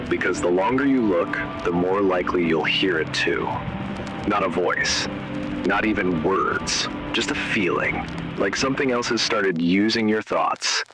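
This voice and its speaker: A man reading scripted horror narration in a measured, grave, ominous way, in short dramatic phrases. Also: low voice, low-quality recording. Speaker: narrator of an analog horror video. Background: static noise.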